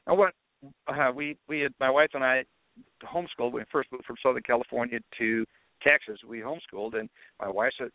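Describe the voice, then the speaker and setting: A man's voice: mid-range voice, a little fast, no echo. Rambling male podcast host, tinny. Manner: rambling, conversational storytelling. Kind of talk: casual monologue. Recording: tinny phone-like dry audio.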